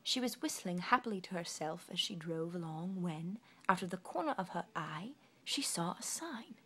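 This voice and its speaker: A woman narrating a children's storybook expressively, in a hushed, deliberate storytelling manner. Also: fairly low pitch, animated, breathy edge. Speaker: storybook reader.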